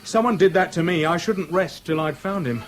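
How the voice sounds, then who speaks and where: A man's voice: a little high, normal speed, faint music behind, lightly acted. A British actor in a 1970s radio drama playing a chatty, outwardly affable man who nurses a grudge, speaking in casual slang. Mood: slyly menacing. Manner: colloquial, slangy, casually insinuating.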